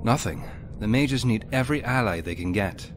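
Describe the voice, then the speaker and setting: Man as a low-voiced, composed fantasy hero. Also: expressive, normal speed, noisy background, close to an everyday voice. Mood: matter-of-fact. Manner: polite, direct, composed. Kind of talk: voiced video game protagonist.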